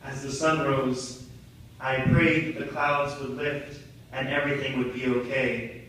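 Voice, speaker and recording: A young adult man performing a dramatic spoken-word monologue in a theatrical, deliberate, poetic delivery. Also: medium pitch, flat delivery, fairly bright tone. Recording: very echoey, distant mic, noisy room.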